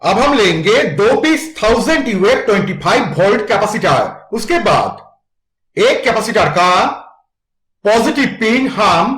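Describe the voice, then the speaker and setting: A man's voice: high-pitched, very animated, takes it slowly, fairly bright tone, roomy sound. A man giving a methodical, instructional step-by-step narration for an electronics tutorial.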